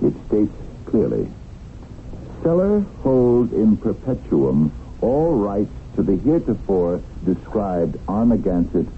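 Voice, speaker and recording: A man's voice: on the low side, big pitch swings, slow, exaggerated voice. An actor playing a sly, stingy businessman in a 1970s radio drama, pedantic and mock-courteous. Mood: smug, oily. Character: sly, stingy, smoothly officious swindling businessman. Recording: muffled, noisy 1970s radio broadcast.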